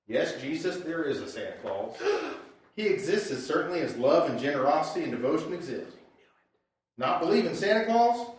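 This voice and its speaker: A man's voice: fairly high pitch, distant mic, a little hiss or hum. Man playing theatrical bickering dad, very echoey room. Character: gruff bickering husband and preachy father in Christmas sketch. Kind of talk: old-time radio variety sketch acting.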